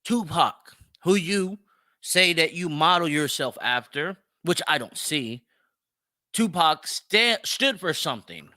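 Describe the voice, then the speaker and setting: A man's voice: a little high, expressive, slow, sharp tone, no room sound, clean studio sound. A man hosting an opinionated solo commentary show, speaking bluntly and emphatically, swearing freely and repeating phrases for stress. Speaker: podcast host.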